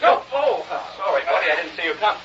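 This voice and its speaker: An actor playing a brash, slangy, excitable newspaper reporter in a vintage radio drama. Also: male voice, high-pitched, fast, a crowd in the background. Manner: wisecracking, slangy, punchy. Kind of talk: 1930s-40s radio acting. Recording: muffled old radio recording, faint noise.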